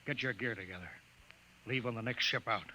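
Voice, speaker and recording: A man playing an irascible, authoritarian bureau boss in 1950s radio drama, gruff, blustering and clipped. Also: mid-range voice, a little fast, pushed and bright. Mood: stern, commanding. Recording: band-limited vintage 1950s radio recording.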